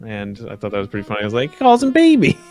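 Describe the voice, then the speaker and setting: A man's voice: lowish voice, wildly swooping pitch, rapid-fire, a little mellow, faint music behind. A young man talking casually and a little ramblingly in a group chat. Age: young adult.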